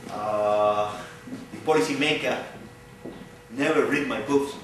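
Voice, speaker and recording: A man's voice: mid-range voice, takes it slowly. A middle-aged man from Cuba with a Cuban Spanish accent, a native Spanish speaker giving a public lecture earnestly, often repeating phrases as he searches for words. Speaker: visiting speaker. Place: large echoey hall. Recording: echoey hall from far off.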